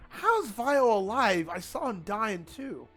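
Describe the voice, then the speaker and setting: A man streaming a video game, talking in a loud, rambling, chatty way to his viewers. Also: high voice, sing-song, measured pace, soft background music. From Italian family. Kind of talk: casual gaming livestream chat.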